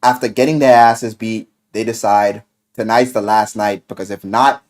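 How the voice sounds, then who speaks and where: A man giving an animated, casual video game review as a vlogger, with excitable, slangy, profane delivery. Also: lowish voice, moderate pace.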